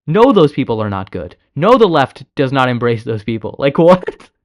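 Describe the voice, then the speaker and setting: A man talks over a clip he is reacting to, sounding exasperated and blunt, with curse words scattered through. His pitch sits in the ordinary middle range for a man. He uses a casual, off-the-cuff commentary style. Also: sing-song, moderate pace, lo-fi sound. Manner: exasperated, blunt, swears often. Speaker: reaction video host.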